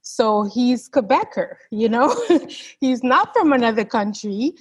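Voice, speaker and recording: Husky-voiced woman hosting a panel. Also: fairly high pitch, lively intonation, slow. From Canada. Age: 40s. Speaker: host. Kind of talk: moderating a discussion panel.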